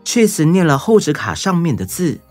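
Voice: medium pitch, big pitch swings, normal speed, darkish tone, faint music behind. A narrator reading a scripted children's story.

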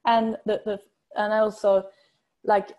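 A middle-aged woman with a Swedish accent giving a research presentation over video call, enthusiastic and halting as she searches for words. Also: medium pitch, flat delivery, muffled recording. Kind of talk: conference presentation. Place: indoors at home or office, on video call.

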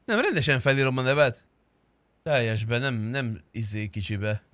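A man's voice: mid-range voice, lively intonation, a bit bright, no echo. A man chatting casually and crudely with friends while streaming a roleplay game. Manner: crude, bantering.

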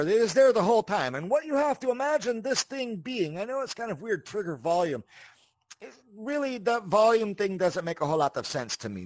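High-pitched man with very animated delivery. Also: no echo. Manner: rambling, repeats words, checks in with "right?" and "you see". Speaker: host of a video game tutorial channel. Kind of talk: casual spoken tutorial with asides.

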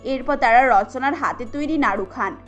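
A woman's voice: high voice, moderate pace, a bit bright, dull audio, faint music behind. A woman giving scripted narration for a family cartoon story.

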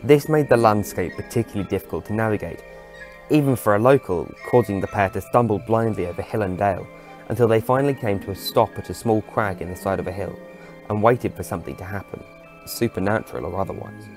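Guy reading out an audio story, lowish voice, bit of music under it. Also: fast, a little mellow. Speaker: narrator. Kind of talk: scripted story narration.

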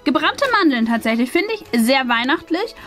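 A woman in her thirties with a high voice hosts a casual unboxing. She chats and explains to her viewers, with faint music playing behind her. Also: sing-song, moderate pace, fairly bright tone. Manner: chatty, explanatory. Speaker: host of an unboxing channel. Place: small furnished indoor room. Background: faint background music.

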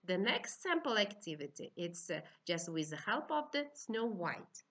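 A middle-aged woman with an Uzbek accent, lecturing to trainee teachers in simple, halting, instructive phrases. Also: medium pitch, big pitch swings, fairly bright tone. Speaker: university teaching-methods lecturer.

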